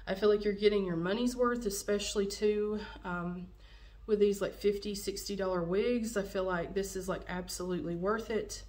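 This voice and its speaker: A woman's voice: mid-range voice, fairly even intonation, moderate pace, darkish tone. A young woman with a cold, a little nasal, chatting through a wig review at home with lots of fillers, recorded on a camera in front of her. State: has a cold, slightly nasal. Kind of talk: casual product review vlog. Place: dim room at home, indoors.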